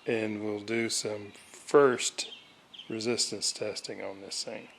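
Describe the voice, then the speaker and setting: A man giving an unscripted workbench walkthrough of his repair, halting and thinking aloud as he goes. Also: on the low side, fairly even intonation, creaky, fairly bright tone. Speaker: hobbyist radio restorer narrating his repair. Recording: roomy echo, background noise, uneven level.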